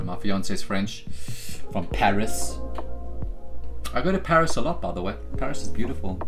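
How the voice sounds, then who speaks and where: Young man, South African accent, live-stream host, hype bursts, low mumbled jokes, faint music behind. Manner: hypes himself and the chat, then drops into low joking asides. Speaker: day-trading livestream host. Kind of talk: casual live-stream chat.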